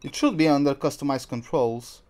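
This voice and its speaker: A man from Portugal, streaming a racing game and chatting excitedly through the action. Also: mid-range voice, moderate pace. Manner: chatty, reacts excitedly to the race. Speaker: gaming streamer. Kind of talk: live game commentary.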